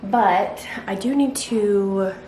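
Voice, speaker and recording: A woman's voice: mid-range voice, extremely slow, mellow. Chatty Southern American woman, noisy home recording. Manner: chatty, rambling, slangy. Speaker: book and craft vlogger. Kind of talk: casual vlog chat.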